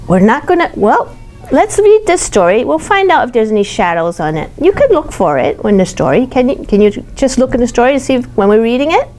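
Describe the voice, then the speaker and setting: A woman reading a picture book aloud to young children, pausing to ask questions and explain words simply. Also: on the high side, sing-song, a little mellow, soft background music. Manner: explains simply, pointing things out. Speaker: storytime reader teaching young children.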